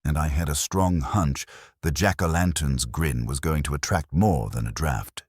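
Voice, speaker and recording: A man's voice: a bass voice, moderate pace, darkish tone, husky, no echo, clean studio sound. A man narrating a scripted first-person detective story in a wry, hardboiled manner.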